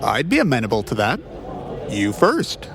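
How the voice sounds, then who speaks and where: A man's voice: medium pitch, wildly swooping pitch. Wry, awkward private eye played by a man, music behind. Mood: awkward, polite. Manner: halting, wry, bantering. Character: awkward, wry male private eye, ex-cop, self-deprecating. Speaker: voice actor in an audio drama. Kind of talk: noir comedy audio drama acting.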